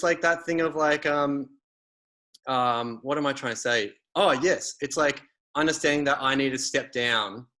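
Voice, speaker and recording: A man's voice: medium pitch, bright, forceful tone. A man, hosting a casual conversation and rambling with many fillers and restarts.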